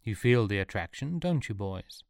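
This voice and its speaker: A man's voice: on the low side, expressive, moderate pace, gravelly, a little mellow, no echo, clean studio sound. A man voicing an imperious, jealous older scientist with a theatrical manner in an audiobook story. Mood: smug, showy.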